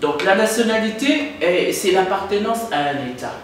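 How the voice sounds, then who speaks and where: A woman giving didactic, repetitive and halting explanations as a party spokesperson in an interview. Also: mid-range voice, expressive. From Democratic Republic of the Congo. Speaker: political party spokesperson, guest. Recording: echoey, distant mic, faint noise.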